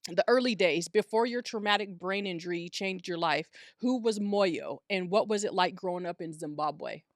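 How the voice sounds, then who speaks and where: A man's voice: sits high, moderate pace. Affirming male podcast host, dry sound. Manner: affirming, echoes guest with "that's right" and "yes". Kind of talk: interview host.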